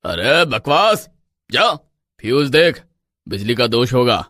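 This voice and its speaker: A man's voice: mid-range voice, very animated, slow pace, sharp tone, no echo, clean studio sound, full character voice. An actor playing an arrogant, wealthy middle-aged village man in a storybook tale, with an emphatic, dismissive manner.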